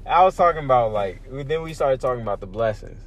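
A man in his early twenties chatting casually on a podcast, laid-back and slangy. His voice sits at an ordinary middle pitch, and he talks easily and unhurriedly. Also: animated, fast. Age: 20s. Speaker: podcast co-host. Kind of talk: casual chat.

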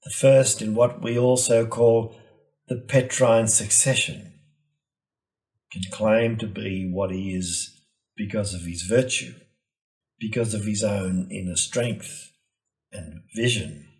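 A man delivering a scripted, reflective homily in a measured, solemn and deliberate manner. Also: on the low side, steady tone, darkish tone, rough recording. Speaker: preacher giving a Gospel reflection.

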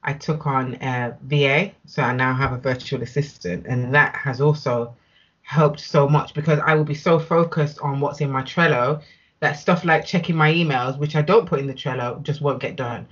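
A woman's voice: rumbling low, steady tone, normal speed, breathy edge, dull audio. A British woman speaking as an interview guest in a casual, candid and emphatic conversational way. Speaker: interview guest, business owner.

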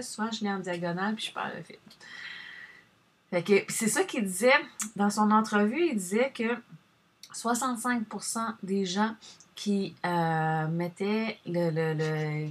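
A woman's voice: medium pitch, moderate pace. A woman with a Québécois French accent chatting casually to viewers, rambling and addressing them directly as she shares advice.

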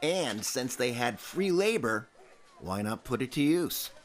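A man's voice: medium pitch, very animated, a little slow. An actor playing a polite, earnest male charity collector in a radio drama, speaking with emphasis. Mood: grave. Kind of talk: radio drama narration and acting.

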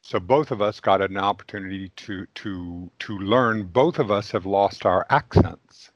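Low-voiced man, dry dull audio. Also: dry recording. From Mexico. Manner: deliberate, stumbling restarts, questioning. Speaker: interview host. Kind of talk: casual conversational interview.

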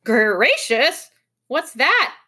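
A woman voicing a silly, vague, mournful male storybook creature with a drawn-out, absent-minded, sing-song delivery. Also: high voice, very animated, bright, forceful tone, husky, voice pushed up, heavily acted. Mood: puzzled.

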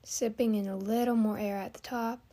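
A woman hosting a guided meditation, giving gentle, soothing instructions with long pauses. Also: fairly high pitch, steady tone.

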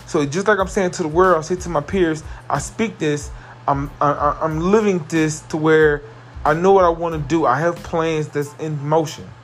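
A man hosting his own podcast, talking earnestly and repetitively about positivity, with a carrying voice that rises into excited emphasis. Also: a little high, normal speed, faint music behind. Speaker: podcast host. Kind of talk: motivational solo podcast monologue.

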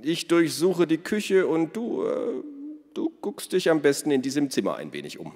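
A man's voice: fairly high pitch, big pitch swings, moderate pace, darkish tone, pitched above the performer's own voice. An eccentric storybook father, acted out by a man reading aloud, earnest and pedantic about his beloved tool. He lifts his voice in mock-solemn indignation. The sound rings with long hall reverb. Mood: brisk.

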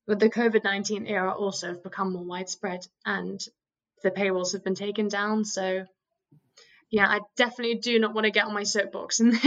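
A woman's voice: mid-range voice, a bit bright. A woman who speaks Farsi natively, co-hosting a podcast in a chatty, self-deprecating way. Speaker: podcast co-host. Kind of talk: casual podcast conversation.

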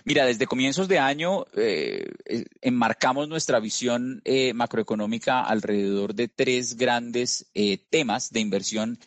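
A Colombian man giving a measured market analysis as an interview guest, with frequent "eh" fillers and restarts. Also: medium pitch, lively intonation, no room sound. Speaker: investment strategist guest.

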